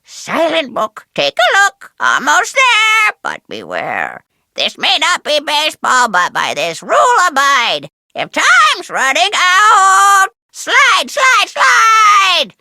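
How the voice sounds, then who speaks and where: A bossy game parrot with a piercing, very sharp voice. It delivers cryptic rhymes in an unhurried sing-song, repeating itself and breaking into squawks. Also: female voice, extremely high pitch, wildly swooping pitch, no room sound, studio quality, full character voice. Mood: instructive, playful. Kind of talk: exaggerated video game character acting.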